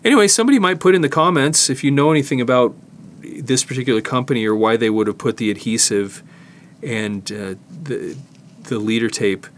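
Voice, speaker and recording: An older man giving a casual hands-on demonstration, explaining haltingly and thinking aloud as he works. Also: mid-range voice, a little hiss or hum. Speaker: host, tape digitizing technician. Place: studio, indoors.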